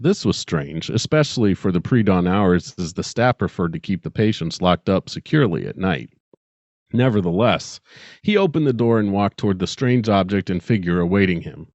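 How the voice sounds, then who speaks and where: A man giving a measured, earnest dramatic reading of a scripted short story. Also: deep voice, darkish tone, no room sound, muffled recording. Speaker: podcast host reading own story.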